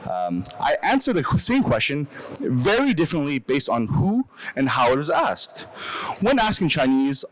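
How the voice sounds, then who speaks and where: A young man from Canada speaks in an earnest, measured way, like he is delivering a prepared personal talk. His pitch moves up and down a lot as he goes. There is faint room ambience under his voice. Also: a little hiss or hum, rough recording.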